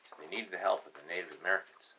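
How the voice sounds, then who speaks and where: A man's voice: deep voice, steady tone, rapid-fire, pushed and bright, a little hiss or hum, rough recording. A man reading a children's picture book aloud, announcing letters emphatically and adding simple, teacherly explanations as he goes. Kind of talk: read-aloud with explanatory asides.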